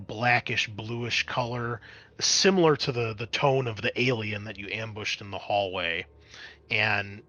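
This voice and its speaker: A man's voice: fairly low pitch, sharp tone, slight background noise. A man acting as game master, describing scenes conversationally for a tabletop roleplaying game.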